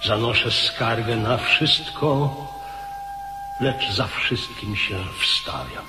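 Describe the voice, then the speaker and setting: A man's voice: mid-range voice, slow, natural voice. A man playing a weary old military commander in a radio play, solemn and declamatory. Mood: weary, humble. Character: weary old hetman commander pleading before his king. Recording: hall-like reverb, noise and hum.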